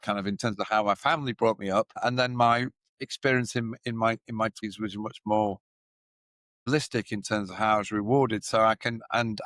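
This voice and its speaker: Male podcast guest, founder and CEO, reflective and hedging, mid-pitched voice, dry close recording. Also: fairly bright tone, dry recording. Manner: reflective, thinking aloud with hedging fillers. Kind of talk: casual conversational interview.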